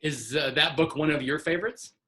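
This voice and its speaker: A man's voice: a little high, a little fast, bright, forceful tone. A man in his sixties, an author on a video call, talking earnestly and encouraging a young reader. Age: 60s. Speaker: author guest. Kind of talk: casual video call chat.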